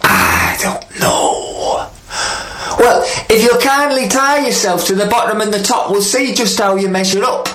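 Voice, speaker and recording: A man voicing a cheeky, desperate little male spider trickster in a storybook tale, pleading and quick. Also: sits high, very animated, a bit bright, reverberant, voice pushed up, exaggerated voice.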